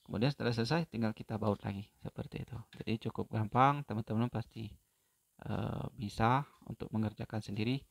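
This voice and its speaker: A man giving an unhurried, step-by-step phone repair tutorial, pausing often with fillers. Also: on the low side, gravelly.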